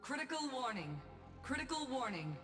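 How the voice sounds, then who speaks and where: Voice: mid-range voice, big pitch swings, moderate pace, fairly bright tone. A man voicing an impersonal, urgent automated alarm system in a video game, with clipped announcements. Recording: echoing announcement under music.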